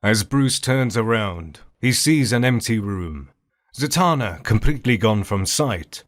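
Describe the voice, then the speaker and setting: A man's voice: lowish voice, animated, measured pace. A man giving scripted narration for an audio drama as its narrator.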